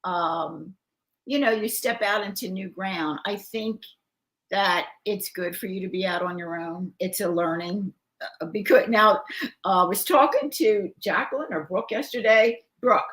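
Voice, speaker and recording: A woman's voice: medium pitch, measured pace, a bit bright. An older woman, a grandmother co-hosting a live show, chatting casually, laughing often and reading viewer comments aloud. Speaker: co-host, grandmother.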